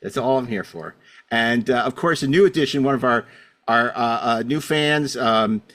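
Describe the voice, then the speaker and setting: A man's voice: mid-range voice, normal speed, a bit bright. A middle-aged man with a mid-range voice hosts a casual podcast. He wanders through his introductions with wry asides and pokes fun at himself as he goes. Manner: rambling, wry, self-deprecating. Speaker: podcast host.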